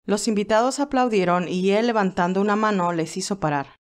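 A woman's voice: mid-range voice, fairly quick. A woman reading scripted story narration in Spanish, telling a tale. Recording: music behind the voice. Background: classical music.

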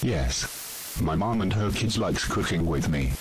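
A man's voice: deep voice, lively intonation, unhurried. A man voicing a friendly, polite caveman cook in an amateur cartoon, on a noisy, hissy home microphone. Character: friendly deep-voiced caveman cook seeking work.

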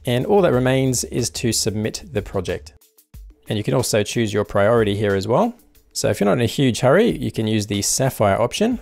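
A man hosting a screen-recorded software tutorial, explaining each step calmly in a voice-over. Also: fairly low pitch, gravelly. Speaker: tutorial host.

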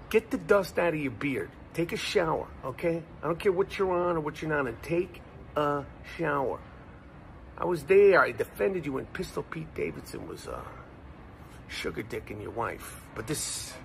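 Gravelly man ranting hotly. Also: fairly high pitch, lively intonation, normal speed, slight background noise. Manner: insulting, heated, jabbing. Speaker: commentator in a clip. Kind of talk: angry ranting monologue.

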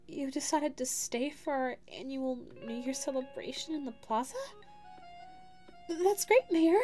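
High sweet female voice, woman acting as anxious cartoonish dog secretary, hesitant, self-doubting, ASMR roleplay, reverberant echo, music behind. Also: warm tone, close to an everyday voice. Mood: bright, eager. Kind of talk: ASMR audio roleplay monologue. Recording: echoey reverberant sound with background music.

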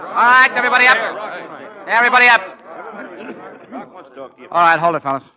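An American actor playing a brisk, wry, commanding college football coach in a 1940s radio drama. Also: male voice, very high-pitched, lively intonation, a crowd in the background. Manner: brisk, wry, barking orders. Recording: dull lo-fi 1940 radio recording.

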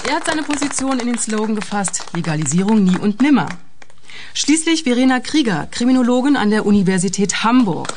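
An actress playing a businesslike political talk-show host in a radio drama, formal and controlled. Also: female voice, fairly high pitch, sing-song, fairly quick, a little mellow, slight background noise. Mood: poised.

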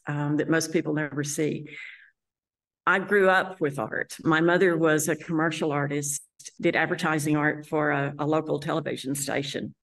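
Gravelly West Texas woman telling her story. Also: low-pitched. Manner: relaxed, earnest, personal storytelling. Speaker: landscape artist. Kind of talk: casual talk to camera. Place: indoor art gallery room.

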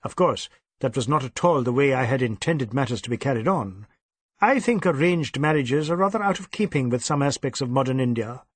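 A man voicing a brisk, proper older Indian father in an audiobook, with a clipped, old-fashioned, matter-of-fact way of talking. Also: medium pitch, lively intonation, no room sound, natural voice.

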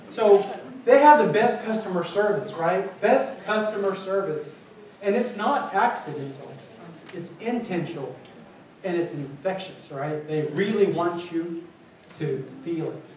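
A man in his forties from the Philippines, giving an energetic conference talk and asking the audience questions. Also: fairly high pitch, a little hiss or hum. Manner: energetic, paces and gestures, asks the audience questions. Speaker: college administrator presenting. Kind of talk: conference presentation. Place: small meeting room with windows. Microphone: far camera, no visible mic. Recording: echoey room picked up from far off.

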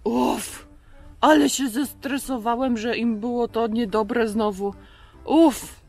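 A woman's voice: on the high side, fairly even intonation, takes it slowly, darkish tone, soft background music. A woman voicing a curious, easily worried boy puppet firefighter who asks short, eager questions in a children's show. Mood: relieved.

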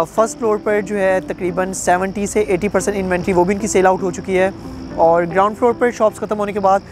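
A young man with a Pakistani Urdu accent, a real estate agent giving a confident, persuasive sales pitch to camera outdoors at a construction site on a lapel mic. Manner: confident, persuasive salesman tone with frequent filler phrases.

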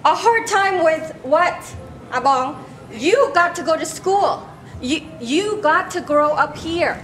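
A woman's voice: very high voice, animated, slow pace, a bit bright, soft background music, full character voice. A woman with as her playing a bitter, scolding refugee mother in a staged play reading, emphatic and exasperated. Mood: bitter, hurt. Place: large glass-walled hall, on stage. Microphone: handheld microphone through PA speaker. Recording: noisy live stage PA recording.

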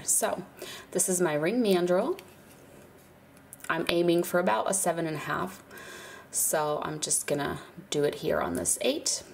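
A woman whose voice sits quite low and sounds stuffed up from a cold. She explains a craft project in a relaxed, chatty way with easy fillers. A faint hum of room ambience sits behind her. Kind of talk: casual hands-on tutorial narration. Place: indoors at a craft table, birds outside.

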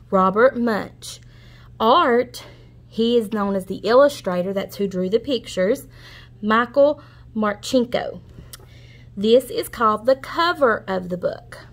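A woman reading a storybook aloud to children in an expressive, explanatory way. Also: mid-range voice. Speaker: children's read-aloud host.

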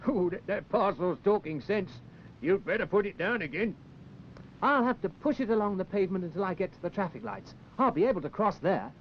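A dithery young puppet handyman, pitched very high and swooping about in tone. He is eager to help but flustered and hesitant, as in a sixties children's show. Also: animated, fairly quick, a little hiss or hum. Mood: fussy, helpful. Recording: dull 1960s television film soundtrack, music.

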